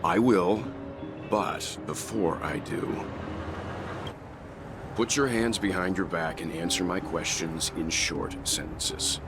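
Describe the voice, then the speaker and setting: An actor playing a stern, suspicious interrogator in a game cutscene, with a commanding, formal manner. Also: male voice, low voice, slow pace, long pauses. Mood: stern, distrustful. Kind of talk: dramatic video game cutscene dialogue. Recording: loud music under the voice.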